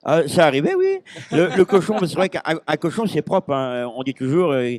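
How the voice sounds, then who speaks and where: A man's voice: a little high, big pitch swings, moderate pace, a little mellow, no room sound. Man, 50s, from Normandy; hesitant, rambling guest, handheld mic. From Normandy, France. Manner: rambling, hesitant, full of restarts and fillers. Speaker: interview guest, pig-call champion. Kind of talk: casual chat. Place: busy agricultural fair stand.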